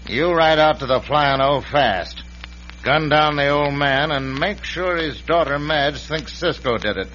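Forceful, crooked frontier lawyer. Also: male voice, medium pitch. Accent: American. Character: scheming, bossy crooked frontier lawyer villain. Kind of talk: old-time radio western acting. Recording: dull vintage radio with hum.

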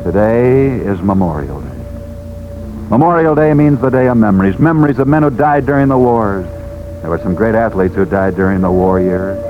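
A man's voice: on the low side, expressive, normal speed, music in the background. An American man telling sports stories as a 1940s radio host, emphatic and theatrical, building up through repetition. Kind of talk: 1940s dramatic radio narration. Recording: dull, noisy 1940s radio recording.

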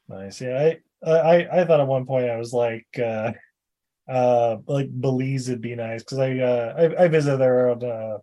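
A man's voice: mid-range voice, slow pace, long pauses, mellow. A man co-hosting a podcast in casual chat, joking and rambling with lots of fillers. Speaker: podcast co-host.

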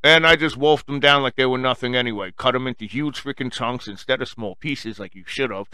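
A man's voice: mid-range voice, a little fast, sharp tone, smooth, dry recording. Brash-sounding man with strong Long Island accent. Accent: strong Long Island New York. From Long Island, New York, United States. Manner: blunt, profane, slangy, addresses listeners as folks. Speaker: podcast host addressing listeners. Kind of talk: casual rambling solo monologue.

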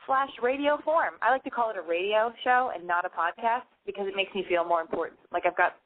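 A woman's voice: medium pitch, a little fast, slight background noise. Woman host with a lisp, rambling and self-deprecating, tinny phone-line audio. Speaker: radio show host. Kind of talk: casual call-in show monologue.

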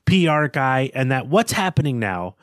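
A man co-hosts a literary discussion in a voice of average pitch. He builds his points deliberately, like an analysis being worked through. The recording is dry and free of room echo. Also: very animated. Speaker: podcast host.

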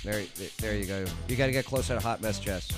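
A man's voice: fairly low pitch, over music. A man hosting a live radio talk show, joking and teasing his co-hosts. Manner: joking, teasing, quick asides.

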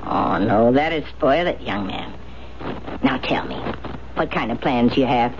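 A woman's voice: extremely low pitch, expressive, unhurried. An actress playing a kindly but feisty old farm woman in a 1970s radio drama, with a folksy, plain-spoken manner. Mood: kindly, hospitable. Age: older. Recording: dull, noisy 1970s radio broadcast.

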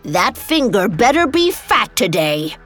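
A woman's voice: medium pitch, sing-song, unhurried, a bit bright, soft background music. A woman voicing a cruel, impatient old witch in a storybook tale, menacing, scornful and demanding.

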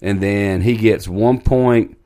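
A man's voice: lowish voice, slow pace, warm tone. A man, chatting and joking in casual group banter, laughing often and poking fun at himself. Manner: storytelling, laughs often, self-deprecating.

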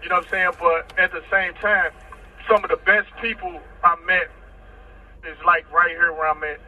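A man's voice: high voice, level tone, normal speed, recorded from a distance. A man calling in from prison, talking casually and rambling, often saying "you know what I'm saying", over a phone line. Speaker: incarcerated guest on a phone call. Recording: tinny prison phone line with faint noise.

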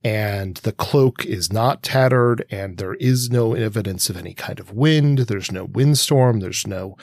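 Low-voiced man discussing literature, dry sound. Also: a little slow, vocal fry, a little mellow, dry recording. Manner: long-winded analytical questioning. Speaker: podcast co-host.

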